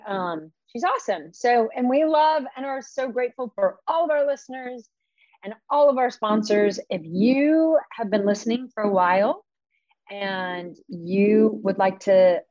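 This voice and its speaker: A woman's voice: mid-range voice, darkish tone, no room sound, lo-fi sound. A woman co-hosting a wellness podcast from home, chatting casually and laughing often. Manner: giggly and chatty. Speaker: podcast co-host.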